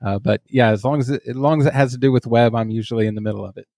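Relaxed Texan man on a dry mic. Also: mid-range voice, very fast, creaky, no echo. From Texas. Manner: relaxed and self-deprecating, with filler uhs. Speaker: podcast guest. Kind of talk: casual podcast conversation.

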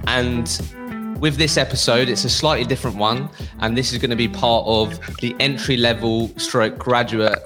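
A man hosting an interview podcast, talking conversationally with restarts and fillers. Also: medium pitch. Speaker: podcast host.

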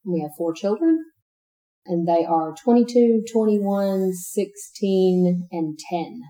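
A woman's voice: mid-range voice, expressive, slow pace, mellow. A woman in her forties from East Texas, a guest answering interview questions in a matter-of-fact way. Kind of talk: casual interview.

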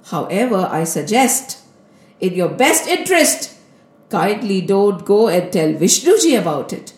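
A kindly, serene male god from a storybook tale, voiced by a woman. The delivery is quiet, measured and gracious, like gentle advice. The room around the voice is clearly echoey. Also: mid-range voice, very animated, voice pushed down. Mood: calm, advisory.

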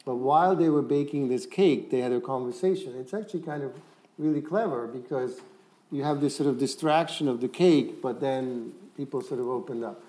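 A man's voice: medium pitch, fairly quick, dark tone. A man on a discussion panel, recounting an anecdote in a rambling, storytelling way.

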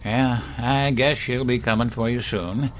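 An actor playing an elderly grandfather in a horror audio drama, folksy, wistful and quietly menacing, with halting, musing delivery. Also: mid-range voice, fairly even intonation, a little hiss or hum, lightly acted. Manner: halting, musing, with sighs and chuckles. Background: music.

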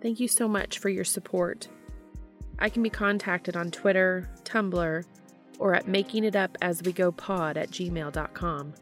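A woman's voice: lowish voice, fairly even intonation, unhurried, fairly bright tone, faint music behind. A woman reading scripted fiction as a podfic narrator.

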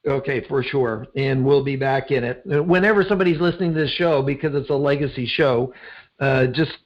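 A man's voice: mid-range voice, a little fast, muffled recording. A man hosting a podcast interview, rambling with frequent fillers and restarts. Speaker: podcast host.

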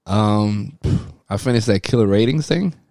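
A man with a lowish voice chats casually as a podcast co-host. He uses street slang and curses often. The recording is very dry. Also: expressive, measured pace, a little mellow, dry recording. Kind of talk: casual chat about TV shows.

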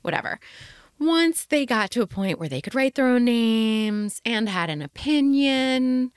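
A woman's voice: a little high, expressive, a little slow, clean tone, no room sound, clean studio sound. A middle-aged woman co-hosting a podcast, chatting casually and playfully with a fondness for puns. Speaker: podcast co-host. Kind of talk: casual chat.

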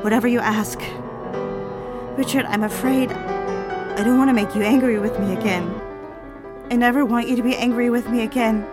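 A woman's voice: on the high side, steady tone, normal speed, pauses often, natural voice. An actress playing an anxious, submissive young secretary in love with her boss, in a romantic audio drama with pleading, formal speech. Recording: music behind the voice.

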